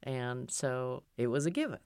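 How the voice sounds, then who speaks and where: A woman's voice: a bass voice, sing-song, moderate pace, a little mellow, clean tone, dry recording, clean studio sound. A middle-aged woman from Japan, a foundation president, talking in a thoughtful, conversational way with plenty of fillers as an interview guest. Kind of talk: casual interview talk.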